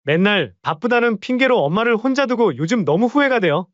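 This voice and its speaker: A man's voice: high voice, expressive, a little fast, a bit bright, dry recording, muffled recording. A man voicing an awkward younger son who sweet-talks his mother with overly polite words. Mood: ingratiating.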